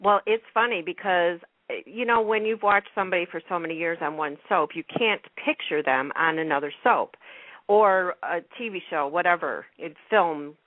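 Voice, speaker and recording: A woman with a slightly low voice talks over a narrow phone connection with no room sound. She chats casually as a fan on a radio panel. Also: normal speed, dry recording. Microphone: phone call. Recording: dry, narrow telephone line.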